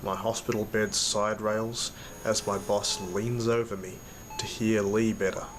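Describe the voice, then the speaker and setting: Guy acting as wounded worn-out man telling his story, lowish voice, slow broken phrases, really noisy audio drama. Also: little pitch movement, lightly acted. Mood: weary, subdued. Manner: halting, broken into short fragments. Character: badly injured man, weary, in pain, recounting his ordeal. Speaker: narrator and lead character. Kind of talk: first-person audio drama narration. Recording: loud noise behind the voice.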